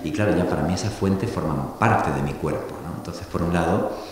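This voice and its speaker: Deep-voiced man musing in an echoey hall. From Argentina. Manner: thoughtful, halting, with many restarts. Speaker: author at a public talk. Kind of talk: reflective public talk. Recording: echoey hall recording from a distance with faint hiss.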